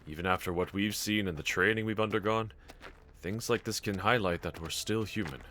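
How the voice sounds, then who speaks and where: A man playing a brave, tender young swordsman in an anime audio roleplay, speaking gently and earnestly to a companion in formal phrasing. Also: fairly low pitch, a little fast, a little hiss or hum. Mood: gentle, reflective.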